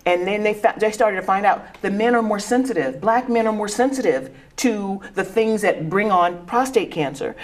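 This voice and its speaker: A middle-aged woman educator speaking on a discussion panel in an earnest, conversational way, often restarting words and laughing. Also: medium pitch.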